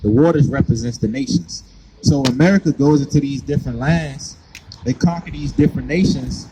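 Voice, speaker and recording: A man's voice: mid-range voice, fairly quick, noisy background. A man preaching on the street, pressing his points with repeated questions. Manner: insistent, hammers points with repeated "right?".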